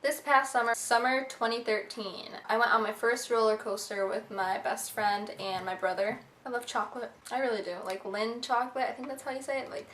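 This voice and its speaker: A woman's voice: a little high, fairly even intonation, brisk, few pauses, a bit bright. Young woman, casual vlog chatter, lots of "like", echoey room, faint background hiss. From Egypt, raised in the USA. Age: young adult. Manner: chatty, bubbly, filler-heavy with lots of "like". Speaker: beauty vlogger. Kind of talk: casual facts-about-me vlog.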